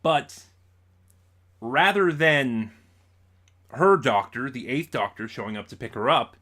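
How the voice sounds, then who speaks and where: A man's voice: mid-range voice, slow pace, sharp tone. A man talking casually as a vlogger in an unboxing video, rambling and over-explaining as he goes. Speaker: YouTube vlogger, collector and reviewer.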